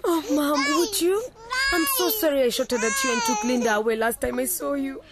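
A woman's voice: sits high, sing-song, brisk. An actress playing an exhausted young student mother, tearful and frantic, pleading and snapping. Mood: relieved. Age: young adult. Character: stressed young student mother at breaking point. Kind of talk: radio drama acting.

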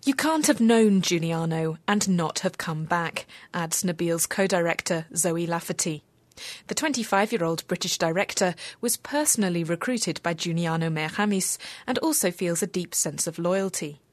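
A woman reporter reading a scripted radio news feature in a measured, even way. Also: fairly low pitch, dry recording.

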